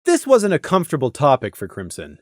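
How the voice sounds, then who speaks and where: Low-voiced woman narrating a story. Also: huge pitch swings, a little fast, no room sound, studio quality. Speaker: audiobook narrator.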